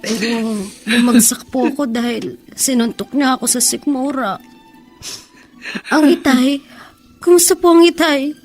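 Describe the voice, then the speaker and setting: A wounded young daughter in a radio drama. She speaks slowly and tearfully in a very high voice, with soft music behind. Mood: tearful grief. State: tearful, crying. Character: grieving young daughter, wounded and guilt-stricken. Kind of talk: Philippine radio drama acting.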